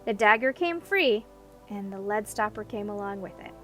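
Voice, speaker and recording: A woman's voice: mid-range voice, expressive, normal speed, music in the background. A woman reading a scripted story as an audiobook narrator.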